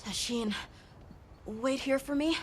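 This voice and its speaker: A woman's voice: high voice, slow pace, slight background noise. A woman voicing an earnest, brave young boy in a game, hesitant yet determined. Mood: tender resolve. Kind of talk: dramatic game cutscene acting.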